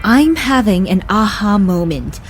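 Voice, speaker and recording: A woman playing an overbearing, oblivious, excitable mother in a comic audio drama. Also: mid-range voice, unhurried, soft background music, close to an everyday voice. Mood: eager.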